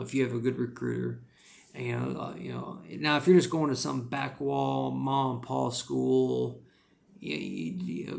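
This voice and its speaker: Gravelly rural American man rambling advice. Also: mid-range voice, a little mellow, a little hiss or hum. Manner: rambling, full of "you know" fillers. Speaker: English teacher giving career advice. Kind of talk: casual advice vlog.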